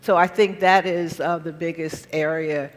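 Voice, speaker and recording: A woman's voice: low voice, fairly even intonation, measured pace, faint music behind. A woman on a panel explaining an answer in a matter-of-fact way. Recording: reverberant hall.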